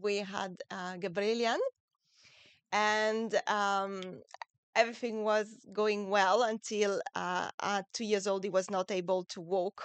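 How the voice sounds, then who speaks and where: A woman with a French accent talks as a guest in a relaxed interview. Her English comes out gentle and a little halting. The voice is smooth and clear, and the sound is dry with no room echo. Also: medium pitch. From France. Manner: gentle, halting non-native English phrasing. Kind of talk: casual interview.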